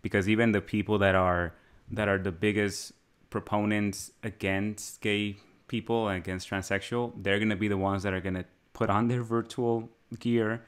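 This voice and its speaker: A man's voice: lowish voice, level tone, moderate pace, hardly any pauses, clean tone. A man co-hosting a podcast, raised in Mexico, chatting casually in a rambling, musing way and laughing often. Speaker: podcast co-host. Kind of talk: casual chat.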